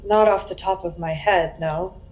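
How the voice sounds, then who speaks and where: A woman witness acted by a woman in a crime audio drama, on the lower side in pitch and slow to speak. She is wary and uneasy, giving short, hesitant, guarded answers. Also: fairly even intonation, darkish tone, close to an everyday voice, low-quality recording. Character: uneasy, guarded woman being questioned by police.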